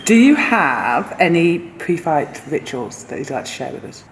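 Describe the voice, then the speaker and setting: A woman interviewing a boxer, asking brisk, encouraging questions. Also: low-pitched, big pitch swings. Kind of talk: quick-fire interview questions. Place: boxing gym. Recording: echoey gym with background noise.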